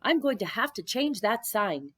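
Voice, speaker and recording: A woman voicing a kindly, devout, weary male rabbi in a storybook, with an expressive, sometimes chanting delivery. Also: on the high side, normal speed, slightly rough, pitched above the performer's own voice, full character voice. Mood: ashamed.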